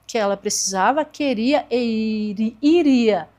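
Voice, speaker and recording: A woman's voice: a little high, unhurried, darkish tone. A woman in her forties, a podcast guest earnestly telling her own story and acting out past conversations. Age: 40s. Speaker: podcast guest, therapist and speaker.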